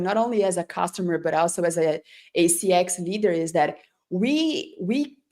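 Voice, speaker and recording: A woman's voice: on the low side, a little mellow. A woman who is not a native English speaker, hosting a conversational online talk show in an effusive, emphatic way, often checking in with the listener. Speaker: host and customer experience leader.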